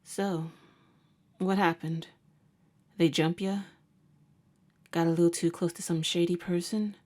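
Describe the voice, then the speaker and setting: A woman's voice: lowish voice, fairly even intonation, darkish tone. A woman playing a jaded, sardonic vampire hunter who works as a nurse, talking in a wry, slangy way in an ASMR roleplay. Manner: wry, casual, slangy, unhurried. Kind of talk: ASMR roleplay audio drama.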